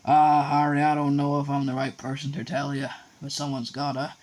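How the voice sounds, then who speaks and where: A man's voice: on the high side, completely flat delivery, a little fast, a little mellow, close to an everyday voice. A man voicing a huge, kind-hearted giant of a man who talks bluntly and folksily. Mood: worried. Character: huge gruff kindly man, rough-spoken giant.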